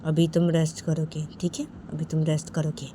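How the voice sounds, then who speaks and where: A woman's voice: low-pitched, completely flat delivery, normal speed, mellow, a little hiss or hum, close to an everyday voice. A woman playing a defiant, commanding wife who confronts her husband in an insistent, repetitive, bossy way.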